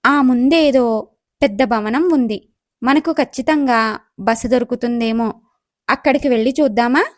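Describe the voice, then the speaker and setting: A woman acts out a young, impatient goddess from a storybook tale. She questions and complains in a very high voice, recorded close and dry. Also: a little slow, no echo, lightly acted. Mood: curious, weary. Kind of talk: acted moral story dialogue.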